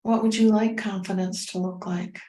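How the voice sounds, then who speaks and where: A woman leading a guided meditation as a teacher, speaking in a gentle, unhurried, soothing way with pauses. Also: medium pitch, fairly even intonation. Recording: roomy, echoing sound.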